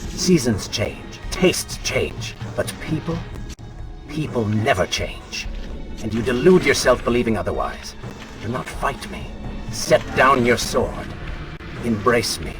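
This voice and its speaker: An actor playing an arrogant, taunting dark spirit, a prince's wicked other self, with mocking, theatrical delivery in a video game. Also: male voice, medium pitch, very animated, slow pace. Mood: cold, persuasive. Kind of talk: dramatic video game acting. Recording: loud music under the voice.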